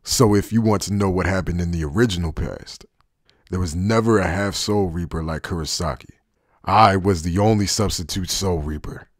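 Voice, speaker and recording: A man acting as a world-weary, cynical anime traitor speaks in a deep voice with a husky grain. He is guarded and wry, laid-back yet deliberate, in a very dry, close sound. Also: no room sound. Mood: grim, matter-of-fact. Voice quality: husky, low. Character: cynical, guarded male former Soul Reaper turned traitor. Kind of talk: anime fan dub acting.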